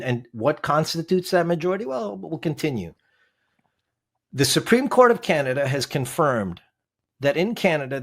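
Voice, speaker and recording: A man, a podcast guest, explaining legal text in conversation, emphatic and quizzing the host with rhetorical questions. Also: mid-range voice.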